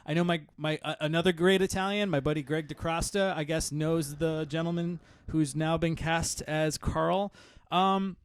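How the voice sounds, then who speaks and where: A man's voice: on the high side, steady tone, moderate pace, smooth, no room sound. A man in his thirties from Connecticut hosting a casual home-studio podcast, rambling and self-deprecating with lots of fillers. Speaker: podcast host. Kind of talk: casual chat.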